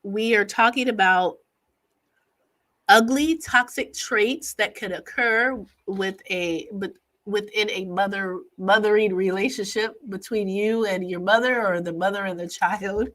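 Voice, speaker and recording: Stuffy-sounding woman therapist with a bright voice. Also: medium pitch, steady tone, low-quality recording. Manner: halting, with frequent "uh" fillers and restarts. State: stuffy from allergies. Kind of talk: educational talk to camera.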